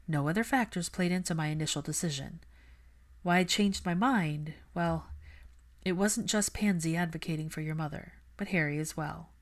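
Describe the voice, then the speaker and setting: A woman's voice: sits low, normal speed, natural voice. Young woman healer acted by a woman reader, principled, stubborn, earnest, precise, firm audiobook dialogue.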